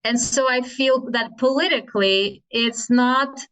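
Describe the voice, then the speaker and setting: Russian-accented woman guest, slightly high voice, reflective and unhurried. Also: rough recording. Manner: thoughtful, with frequent restarts and soft laughs. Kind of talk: casual spiritual conversation.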